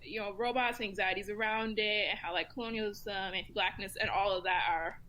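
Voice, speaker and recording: A woman's voice: mid-range voice, steady tone, brisk, pushed and bright, low-quality recording. A woman speaking as a guest commentator in a podcast round table, conversational and unpretentious. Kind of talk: casual round table discussion, some reading aloud. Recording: faint room noise behind voice.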